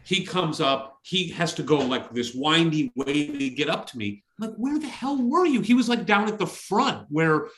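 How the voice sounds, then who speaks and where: A middle-aged man with a high, bright, forceful voice tells a casual story on a podcast. He is loud and exclamatory, slipping into quoted dialogue as he recounts what people said. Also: animated, moderate pace, few pauses. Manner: exclamatory, acts out dialogue in his stories. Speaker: podcast host. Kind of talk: animated anecdotal storytelling in casual chat.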